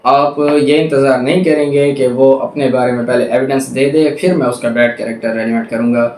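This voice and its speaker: Man, Pakistani accent, medium pitch, didactic law lecturer, repetitive emphasis, echoey room. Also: fairly even intonation, fairly quick, a little mellow. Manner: didactic, repeats points for emphasis. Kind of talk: explanatory lecture, reading statutes and paraphrasing them. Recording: echoey room with faint background noise.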